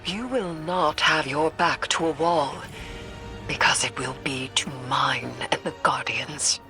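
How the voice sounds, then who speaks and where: A stern, steadfast mystic woman from a video game. She speaks slowly and formally, with portentous, often breathy weight. Music swells behind her. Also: deep voice. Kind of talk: video game cutscene acting.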